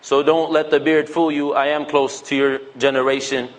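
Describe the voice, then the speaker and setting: A man's voice: on the high side, little pitch movement, normal speed, low-quality recording. A man, giving a casual, joking religious talk to young people and acting out bits of dialogue. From United States. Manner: conversational, joking, acts out quoted dialogue.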